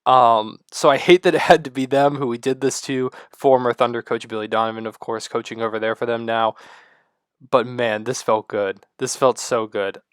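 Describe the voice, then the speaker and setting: A man with a stuffy, congested voice and low energy, rambling excitedly to the camera at home. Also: moderate pace, clean tone, no room sound, clean studio sound. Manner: loose, rambling, excited fan talk with many fillers. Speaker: sports vlogger. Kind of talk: casual rambling talk to camera.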